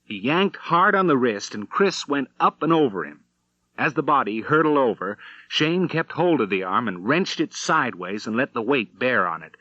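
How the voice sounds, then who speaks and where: A man reading a western novel as an audiobook narrator, in measured, dramatic storytelling. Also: mid-range voice, sharp tone, no room sound, dull audio. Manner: measured storytelling with acted dialogue.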